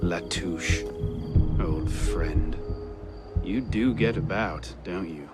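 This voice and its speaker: An actor playing a driven young male assassin in a video game cutscene, speaking in a measured, respectful, earnest way. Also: low-pitched. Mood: intent. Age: young adult. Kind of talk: dramatic game cutscene acting. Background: loud music.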